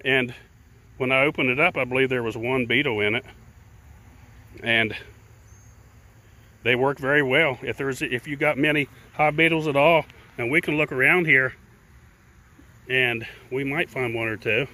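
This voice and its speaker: Man, mid pitch, folksy rambling beekeeping how-to, talks outdoors into a handheld camera. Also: sharp tone. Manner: rambling, repeats words, plain folksy speech. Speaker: beekeeper and channel host. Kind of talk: casual how-to talk while working hives. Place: outdoors beside beehives in a grassy yard. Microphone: handheld camera held by the speaker.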